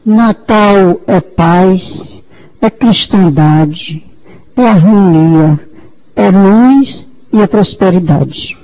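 A woman's voice: medium pitch, drawn-out words. Brazilian woman of fifty, muffled recording. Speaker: student guest on radio show. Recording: dull lo-fi audio.

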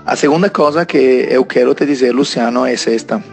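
A man's voice: mid-range voice, brisk, a little mellow, faint music behind. A man in his twenties from Italy, an Italian speaker talking in Portuguese with an Italian accent, sending a listener message with hesitant phrasing and frequent fillers. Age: 20s.

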